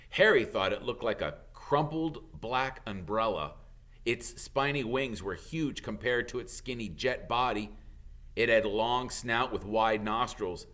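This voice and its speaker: A man reading a children's story aloud as an expressive narrator, like a teacher reading to pupils. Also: on the low side.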